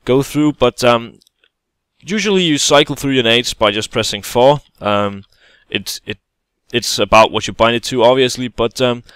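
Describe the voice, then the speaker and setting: A man's voice: mid-range voice, moderate pace, a bit bright, clear, resonant tone, no echo. Man, hesitant game tutorial. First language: Danish. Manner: hesitant, many fillers and restarts, casual explaining. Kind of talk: gameplay tutorial voice-over.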